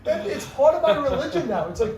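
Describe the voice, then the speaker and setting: High-voiced excitable young man, echoey room. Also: fast, a crowd in the background. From New York City area. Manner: excitable, rambling, lots of "like" and restarts. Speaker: podcast guest, comedian. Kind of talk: casual storytelling chat. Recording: echoey room, mic at a distance, faint noise.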